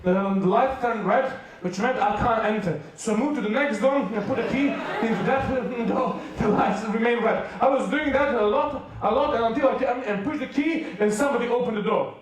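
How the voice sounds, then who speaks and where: A man's voice: very high voice, moderate pace, hardly any pauses. A man from Serbia with a Serbian accent, preaching a humorous sermon to a youth rally and acting out the dialogue in his stories. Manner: animated comic storytelling, acts out dialogue. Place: large hall with a youth audience. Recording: echoey hall, voice from far off.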